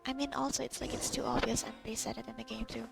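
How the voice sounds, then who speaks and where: A woman chats casually through a game playthrough, muttering and reading bits aloud. Her voice is fairly high with a creaky fry, and music plays clearly behind her. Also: flat delivery, fast. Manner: muttering to self, reads game text aloud. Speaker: gamer recording a playthrough. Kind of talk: casual gameplay chat.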